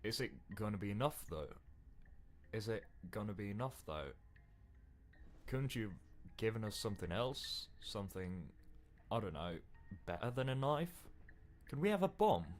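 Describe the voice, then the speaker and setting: A man's voice: on the low side, very animated, normal speed, pauses often, soft background music. Man acting a jittery, paranoid inner voice. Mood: nervous doubt. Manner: anxious, urgent questioning. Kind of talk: visual novel game character acting.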